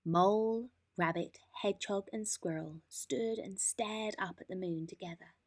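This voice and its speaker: A woman narrating a children's bedtime story in an expressive, deliberate manner. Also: medium pitch.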